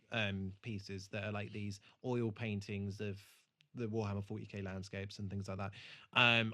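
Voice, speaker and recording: A man's voice: on the low side, a bit bright. A man co-hosting a podcast in a casual, rambling, enthusiastic and sweary way. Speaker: podcast co-host. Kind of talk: casual chat, reviewing a TV show.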